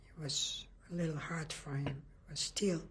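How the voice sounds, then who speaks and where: An older woman from Lithuania giving an oral history testimony in a halting way, often restarting and searching for words. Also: low voice, fairly even intonation, a bit bright, slight background noise. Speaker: interviewee.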